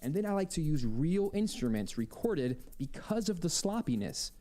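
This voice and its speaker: A man's voice: fairly high pitch, very animated, moderate pace, warm tone. A man speaking as an audio drama composer, explaining his working process in a podcast interview. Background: music playing behind the voice.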